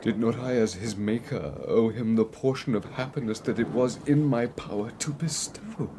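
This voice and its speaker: A man's voice: mid-range voice, normal speed, background noise, close to an everyday voice. An actor playing a weary, remorseful scientist confessing his tale in an audiobook drama, speaking formally and hesitantly, as if confiding.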